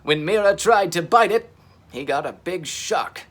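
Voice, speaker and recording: A man plays a heroic young dinosaur captain in a children's storybook. He is earnest and excitable, with emphatic, dramatic delivery that swings widely in pitch. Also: a little slow, heavily acted. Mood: relieved, excited. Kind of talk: children's storybook character reading.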